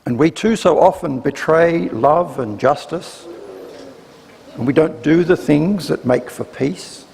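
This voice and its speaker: A man delivering a scripted sermon reflection gravely, with deliberate pauses between phrases, in a church. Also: on the high side, a little mellow. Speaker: church speaker giving a reflection. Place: church, live service. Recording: reverberant church, faint noise.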